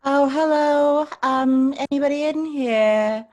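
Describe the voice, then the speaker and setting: A woman's voice: high voice, slow pace, a little mellow. A woman playing a polite, slightly out-of-touch shopper in an improvised comedy scene, speaking tentatively.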